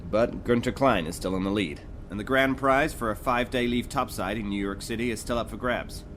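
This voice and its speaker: An actor playing a gruff, sarcastic male firearms instructor in an audio drama, barking orders and mocking recruits. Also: on the low side, a little fast, fairly bright tone, slight background noise, natural voice. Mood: commanding. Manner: barking, mocking, drill-sergeant style.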